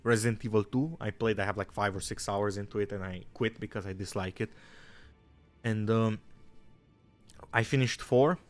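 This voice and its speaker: A man's voice: fairly low pitch, steady tone, normal speed, pauses often, soft background music. A young man from Romania, chatting casually as a gaming streamer, rambling, swearing and saying "bro" a lot.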